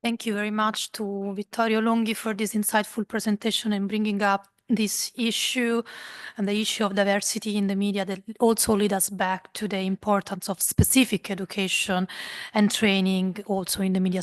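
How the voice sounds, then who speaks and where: A woman moderator thanking one speaker and formally introducing the next at a conference. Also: mid-range voice. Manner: formal, reading out a speaker's bio.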